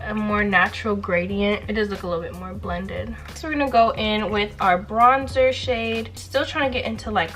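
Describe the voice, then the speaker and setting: A woman's voice: medium pitch, a bit bright. A young woman chatting casually to her viewers as a beauty vlogger while doing her makeup, getting over a cold. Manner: chatty, playful, talks straight to viewers. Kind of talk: casual get-ready-with-me chat.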